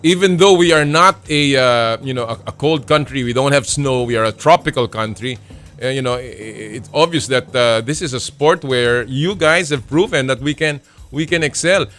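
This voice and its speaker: A man with a Filipino accent hosting a sports show, asking questions in a mix of English and Tagalog, halting with many fillers and restarts. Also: medium pitch, fairly bright tone, soft background music. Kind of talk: casual interview, mixing English and Tagalog.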